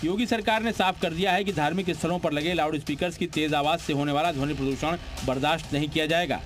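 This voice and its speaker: A man's voice: on the high side, fast. A man reading a scripted news report as narrator, with a dramatic, punchy delivery. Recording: music bed behind the voice. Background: prominent background music.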